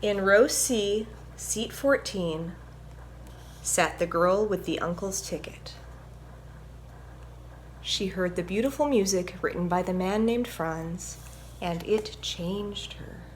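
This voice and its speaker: Female children's presenter, mid-range voice, gentle and encouraging read-aloud and teaching delivery, light noise behind. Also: mid-range voice, slow, long pauses. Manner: gentle, encouraging, instructive, addressing young children. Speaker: violinist presenting a children's story time. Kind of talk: picture book read-aloud and music lesson for children.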